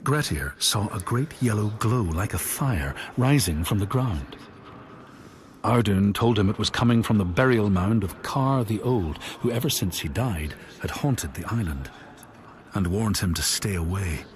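An actor playing a grave storyteller who narrates Norse legends in a solemn, deliberate way for a video game. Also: male voice, on the low side, animated, a little hiss or hum. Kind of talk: scripted saga narration.

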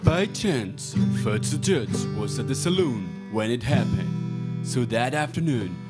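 A man's voice: mid-range voice, expressive, slow. A young man giving scripted storytelling narration for a live radio theatre piece. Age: young adult. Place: small stage, indoors. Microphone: stage microphone. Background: acoustic guitar.

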